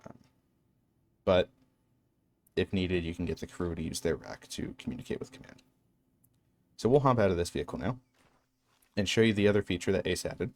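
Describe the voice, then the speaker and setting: A man's voice: low-pitched, normal speed, gravelly, long pauses. A man narrating an instructional game walkthrough in a matter-of-fact, explanatory way. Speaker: tutorial narrator.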